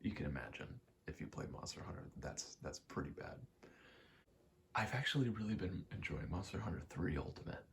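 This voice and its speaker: A soft-spoken man giving a hesitant, self-deprecating ASMR ramble. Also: sits low, very animated, a little fast, vocal fry, slight background noise. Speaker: video game ASMR creator.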